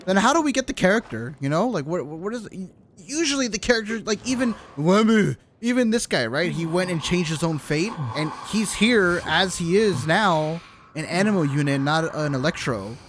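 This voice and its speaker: A streamer giving casual live gameplay commentary, slangy and sweary, thinking out loud. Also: mid-range voice, expressive, moderate pace. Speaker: video game streamer. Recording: noisy, clicks behind the voice.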